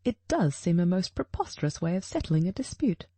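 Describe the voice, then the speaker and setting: Woman as shrewd male detective, audiobook dialogue, mellow, husky, didactic, formal, self-assured. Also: fairly low pitch, very animated, fairly quick, no echo.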